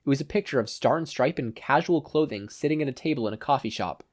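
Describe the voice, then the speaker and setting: A man's voice: medium pitch, fast. Male narrator reading a story. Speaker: podfic narrator.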